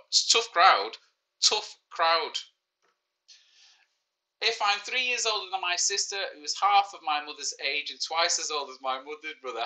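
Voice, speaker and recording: A man in his forties with a clear Manchester accent hosts a live maths quiz for children. His voice is bright and forceful, and he talks in easy, joking banter, often teasing himself. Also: on the high side, poor audio. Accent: Mancunian. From Manchester, England. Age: 40s. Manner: joking, self-mocking banter. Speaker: teacher hosting a live quiz. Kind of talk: casual livestreamed lesson.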